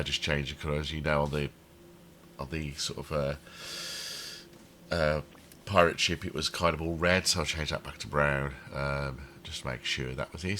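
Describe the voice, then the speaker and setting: A man's voice: sits low, bright, forceful tone, a little hiss or hum. A man with a cold and a throaty voice, rambling with many ums as he comments casually over his game building. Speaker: channel host building a theme park in a game.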